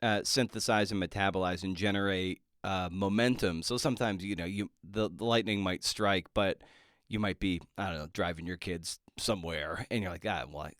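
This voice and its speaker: A man hosting a casual podcast interview, speaking in a halting way as he searches for his words. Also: low voice, steady tone, fairly bright tone, no echo, studio quality. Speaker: podcast host.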